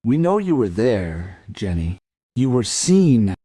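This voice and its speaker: An actor playing a stern, probing police detective in a crime radio play, questioning in a measured, insistent way. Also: male voice, mid-range voice, big pitch swings, dark tone, breathy edge, heavily acted. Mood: grave, insistent.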